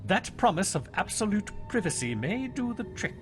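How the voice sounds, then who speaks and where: An actor playing a dry, methodical police inspector in a period radio crime drama, deliberate and authoritative. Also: male voice, high-pitched, very animated, loud music behind the voice.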